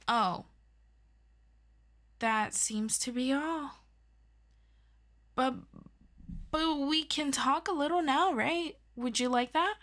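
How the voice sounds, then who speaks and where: A woman's voice: high voice, slow, long pauses, a bit bright. A woman acting as an earnest, chatty superhero best friend in an ASMR roleplay, rambling and hesitant with affection. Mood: awkward, affectionate.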